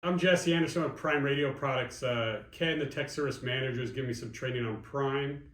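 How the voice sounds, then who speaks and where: A man with a mid-pitched voice presents products for a promotional video. He speaks as a straightforward company presenter, explaining things plainly. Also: a little fast, fairly bright tone. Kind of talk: scripted product narration, later casual demo chat.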